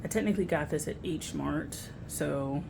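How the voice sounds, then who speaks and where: Young American female vlogger, low pitch, informal slangy delivery, noisy home recording. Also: normal speed, gravelly. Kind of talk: casual grocery haul chat to camera.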